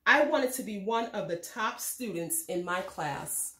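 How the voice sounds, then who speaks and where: A woman reading a children's storybook aloud in a measured, expressive way. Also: medium pitch, roomy sound. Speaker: read-aloud host reading a children's book. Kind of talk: scripted storybook narration for children.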